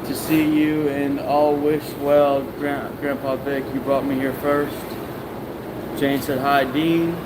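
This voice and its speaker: A man hosting a casual live stream, reading viewer comments aloud in a relaxed, offhand way. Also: mid-range voice, takes it slowly. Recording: echoey workshop with loud background noise.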